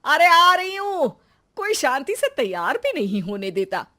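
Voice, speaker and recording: A woman's voice: high-pitched, very animated, a little slow, pushed and bright, exaggerated voice. A woman voicing a vain, dramatic middle-aged mother-in-law in a story, self-absorbed and melodramatic. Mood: irritated.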